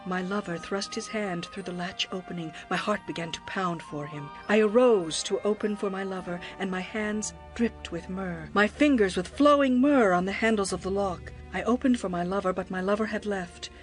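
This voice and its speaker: A woman plays a passionate young bride full of longing in a scripture drama. Her voice is medium in pitch, with poetic, expressive phrasing. Faint music runs underneath. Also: moderate pace, hardly any pauses, close to an everyday voice. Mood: breathless longing. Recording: music behind the voice.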